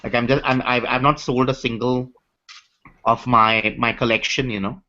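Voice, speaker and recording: A man with an Indian accent talks haltingly in a relaxed group call, stumbling through restarts and fillers. His tone is bright and forceful. The audio sounds dull and lo-fi, as if heard through an online hangout. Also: medium pitch. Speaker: hangout participant, coin collector. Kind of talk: casual group chat. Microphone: video call.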